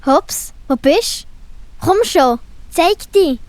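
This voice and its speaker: A woman's voice: sits high, animated, very slow, dry recording, exaggerated voice. A woman playing a cheerful, curious young animal friend in a children's audio story, with a Swiss German accent and a playful, childlike manner. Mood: calling, searching.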